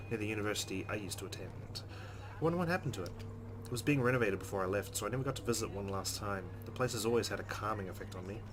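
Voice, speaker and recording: A man's voice: fairly low pitch, noisy background. A man hosting a let's play, reading game narration aloud and adding casual commentary. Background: faint game music.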